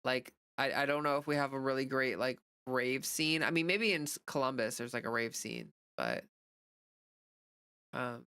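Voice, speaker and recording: A woman's voice: extremely low pitch, moderate pace, a bit bright, clean tone, dry recording, clean studio sound. An American woman livestreamer chatting casually and off the cuff, rambling informally as she answers viewer comments. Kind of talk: casual unscripted chat.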